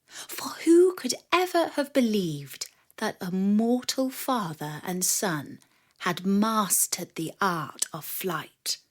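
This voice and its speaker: Expressive woman storyteller, slow and lively. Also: mid-range voice. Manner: expressive, dramatic delivery. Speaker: storyteller and host of a children's story podcast. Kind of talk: scripted storytelling narration for children.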